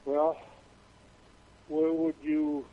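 A man's voice: on the high side, flat delivery, extremely slow, no echo. Terse man on tinny phone. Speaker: prank call target. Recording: tinny narrow telephone line.

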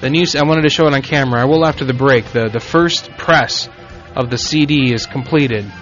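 A man's voice: medium pitch, brisk, fairly bright tone, soft background music. A man from Puerto Rico with a Puerto Rican accent, hosting a casual internet radio show, rambling and joking with frequent restarts. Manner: rambling, self-correcting, joking. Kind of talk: casual talk radio chat. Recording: dull lo-fi stream audio.

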